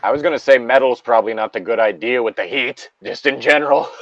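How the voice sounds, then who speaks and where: A man's voice: mid-range voice, brisk, a bit bright, no room sound, dull audio. A man in a casual, joking group chat, with a crude, profane way of talking.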